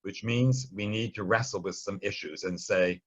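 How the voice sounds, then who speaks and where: A man's voice: on the low side, moderate pace, a bit bright. A man giving an informal, explanatory Bible lesson in a conversational, storytelling way with some wry asides. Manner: conversational, wryly storytelling, acts out short quotes. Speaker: Bible study teacher.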